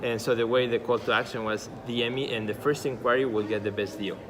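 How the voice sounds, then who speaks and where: A man's voice: mid-range voice, steady tone, fast, a crowd in the background. A male artist chatting casually, agreeing often with enthusiastic affirmations. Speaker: artist and interviewer. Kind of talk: casual conversation.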